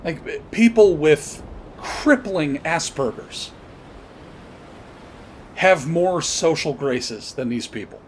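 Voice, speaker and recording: A man hosting a podcast, giving unscripted political commentary in a blunt, profane, halting way with pauses and repeated words. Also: expressive. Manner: halting, searching delivery with pauses and repetitions. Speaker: podcast host. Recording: noisy, with low rumble behind. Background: noise and rumble.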